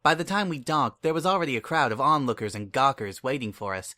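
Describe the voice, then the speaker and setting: A reader giving a dramatic first-person reading of fiction, measured and reflective. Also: mid-range voice, pushed and bright. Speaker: audiobook reader.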